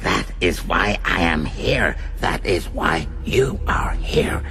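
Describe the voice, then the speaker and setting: A man acting a sinister, grandiose megalomaniac villain in a radio drama, speaking formally and theatrically with deliberate menace. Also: low-pitched, expressive, sharp tone, soft background music, full character voice. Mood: grandiose fury. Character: sinister megalomaniac villain, cold, grandiose, self-declared maniac.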